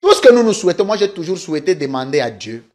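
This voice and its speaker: Man, political guest, emphatic, insistent, lively swooping pitch. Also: fast. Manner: insistent, emphatic, talks over the host. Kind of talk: heated political debate.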